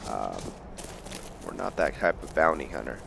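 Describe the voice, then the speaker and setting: A man's voice: a bass voice, big pitch swings, normal speed, creaky, background noise. A man doing casual let's play commentary, thinking aloud to his viewers and slipping into roleplay. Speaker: gaming video host.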